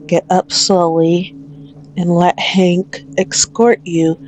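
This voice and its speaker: A very slow, deliberate female mafia boss, acted out by a woman for an ASMR roleplay, with a slightly low voice. She is possessive and manipulative, taunting her hostage with mock tenderness and open menace. Faint noise sits behind the voice. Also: level tone, darkish tone, low-quality recording. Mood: cold threat.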